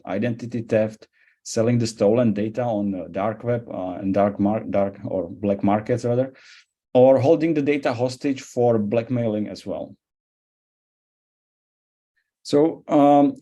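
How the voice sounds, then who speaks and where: A man with a Central European accent gives an expert webinar presentation. His voice sits fairly low and has a very mellow, dark tone. He moves through his points haltingly, hesitating often. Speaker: cybersecurity expert giving a presentation.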